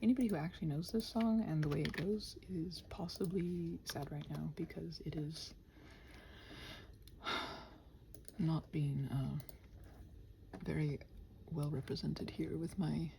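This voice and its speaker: A woman with a mellow, relaxed voice mutters to herself in a rambling ASMR style. She often drops into a half-whisper, with faint noise and keyboard typing behind her. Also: sits low, a little slow, vocal fry, long pauses. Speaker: host of a body-doubling ramble. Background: computer keyboard and typing.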